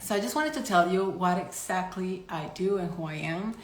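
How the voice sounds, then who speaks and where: Colombian woman in her 30s, Spanish first language, lowish voice, chatty live-stream host with loose restarts, roomy echo. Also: moderate pace. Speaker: psychic medium and energy healer. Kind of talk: casual live-stream talk to followers. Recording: echoey room.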